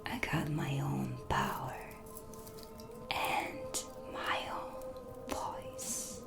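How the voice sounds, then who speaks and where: Woman as a slow, whispering dark witch. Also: very high voice, wildly swooping pitch, full character voice. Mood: coolly proud. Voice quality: breathy whisper. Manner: hushed, deliberate, teasing. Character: self-assured dark witch, mysterious and proud, in her shop. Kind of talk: whispered cinematic ASMR roleplay with slow pauses. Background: music, dark ambience.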